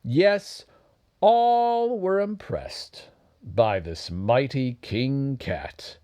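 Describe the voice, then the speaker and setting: A man reading a children's bedtime storybook expressively, with dramatic, drawn-out phrasing and hushed dips. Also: mid-range voice, expressive.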